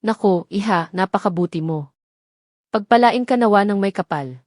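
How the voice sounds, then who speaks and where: A woman's voice: medium pitch, moderate pace, a little mellow, no room sound, clean studio sound, lightly acted. Lady doing a tired old granny from the province, humble and plaintive, audio drama. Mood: tearfully grateful. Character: tired, grateful old woman in her seventies from the province.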